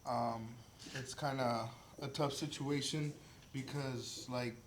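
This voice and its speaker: A man's voice: mid-range voice, fairly even intonation, slow. Echoey young man in casual podcast chat. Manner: informal, swearing, hesitant phrasing. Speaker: podcast host. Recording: echoey room, faint background noise.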